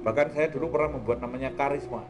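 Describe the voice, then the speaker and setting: A man's voice: medium pitch, quick pace, music in the background. A man hosting a conversational talk discussion. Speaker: host.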